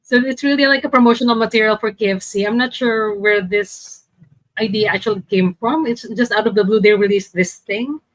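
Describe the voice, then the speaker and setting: A woman's voice: medium pitch, a little fast, no echo, rough recording. A woman casually presenting as a podcast panelist, a little hesitant as she searches for her words. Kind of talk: casual presentation in a group chat.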